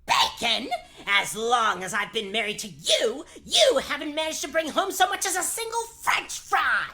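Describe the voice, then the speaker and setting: A woman's voice: sits high, animated, normal speed. A woman playing a wicked, short-tempered stepmother who nags and scolds in a comedic fairy-tale radio play. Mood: exasperated. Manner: scolding, exasperated, forceful.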